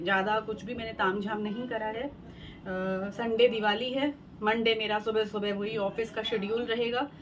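A woman in her thirties chatting casually to the camera in a home vlog, showing things one by one with many fillers. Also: medium pitch. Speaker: home vlogger. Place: bright room at home, later a balcony. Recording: home phone recording with clear background noise.

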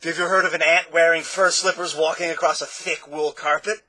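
A man voicing an eccentric, pushy male doctor in a storybook, with a hoarse, croaking voice. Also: fairly high pitch, little pitch movement, fairly quick, sharp tone, voice pushed up. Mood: insistent. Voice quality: raspy, croaking.

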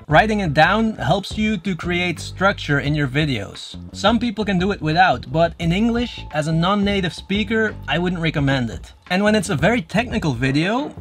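A man in his thirties with a Dutch accent, a native Dutch speaker giving earnest advice straight to camera from a dim room, gesturing as he explains. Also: on the high side. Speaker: YouTuber. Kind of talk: direct-to-camera advice vlog. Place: dim room with shelves, indoors.

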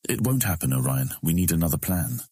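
A man voicing a scheming, self-assured guildmaster with a husky voice and a formal, insistent manner in a fantasy audiobook. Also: sing-song, brisk, mellow, no room sound, clean studio sound, exaggerated voice. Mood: firm, impatient. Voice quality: husky, very deep. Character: scheming, self-assured male guildmaster, uneasy under pressure. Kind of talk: audiobook character acting.

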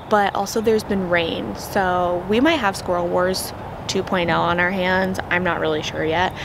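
Chatty American woman, noisy backyard recording. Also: medium pitch. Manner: chatty, expressive, informal slang. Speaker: gardening vlogger. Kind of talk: casual vlog tour talk to camera. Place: outdoors in a suburban backyard.

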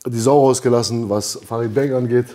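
A man's voice: mid-range voice, steady tone, fairly quick, warm tone. A man in his thirties hosting a casual rap-scene chat on a sofa by a boxing ring, rambling and laughing often. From Albanian background. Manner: laid-back, rambling, laughs often, acts out quotes. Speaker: podcast host. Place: lounge by a boxing ring, indoors.

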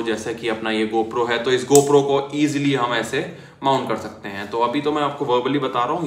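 A man's voice: medium pitch, background noise. A young man chatting casually to viewers while demonstrating a helmet camera setup, calling them bhai and yaar. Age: young adult. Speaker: motovlogger. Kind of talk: casual product demo. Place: small indoor room at a desk. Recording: echoey room.

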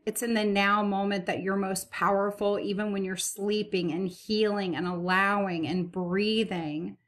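A woman leading a guided meditation as its host, speaking soothingly and gently in repeated, unhurried phrases. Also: mid-range voice, steady tone.

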